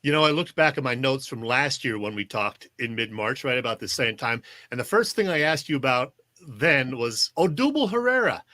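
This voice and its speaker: A man's voice: medium pitch, lively intonation, moderate pace, sharp tone, no room sound. An American man hosting a conversational sports interview, putting questions about baseball to a guest. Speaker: sports show host, interviewer.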